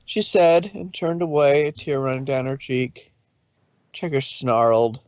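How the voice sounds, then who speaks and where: A man's voice: medium pitch, a little slow, darkish tone, no room sound, dull audio. A man narrating a story aloud in a dramatic reading style.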